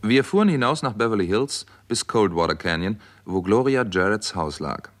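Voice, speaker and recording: Reserved, wry doctor-narrator, lowish voice, lively intonation, vintage radio crime play. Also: male voice, moderate pace. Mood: dry, composed. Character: wry, reserved male doctor narrating his detective story. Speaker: lead actor and first-person narrator. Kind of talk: 1960s German radio crime drama acting. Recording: 1960s radio recording, faint background noise.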